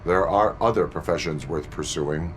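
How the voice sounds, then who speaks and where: An actor playing a stoic, heroic cyborg police officer in a video game, with a deadpan, formal delivery. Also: male voice, low voice, normal speed, a little hiss or hum, close to an everyday voice. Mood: stoic, dry. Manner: deadpan, clipped formal phrasing. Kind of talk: video game cutscene acting.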